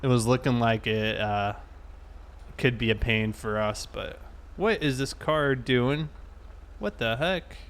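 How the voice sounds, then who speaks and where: A man's voice: medium pitch, slow, smooth. An American man chatting casually and in a laid-back, conversational way while livestreaming a game.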